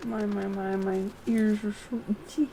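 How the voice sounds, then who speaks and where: A woman's voice: medium pitch, little pitch movement, unhurried, warm tone, slight background noise. A woman streaming a video game, muttering to herself in casual live commentary and often trailing off. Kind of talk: casual live gameplay commentary, thinking aloud.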